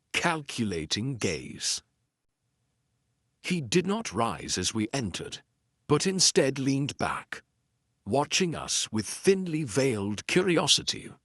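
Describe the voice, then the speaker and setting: A man narrating a detective story in a measured, deliberate, scripted dramatic style. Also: mid-range voice, fairly bright tone, dry recording, clean studio sound. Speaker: audiobook narrator.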